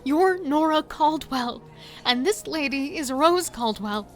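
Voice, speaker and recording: Casting: a lost, insistent middle sister in horror drama, high voice, urgent and pleading. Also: female voice, expressive, takes it slowly, over music. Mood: frantic, distressed. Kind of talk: audio horror drama acting with narration.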